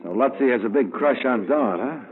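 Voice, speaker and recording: An American actor playing a cool, tough insurance investigator in old-time radio drama, terse and wry. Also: male voice, mid-range voice, lively intonation, a little fast, close to an everyday voice. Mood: casual probing. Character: hard-boiled freelance male insurance investigator, cool and tough. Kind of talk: old-time radio detective narration and dialogue. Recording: muffled vintage radio recording with music.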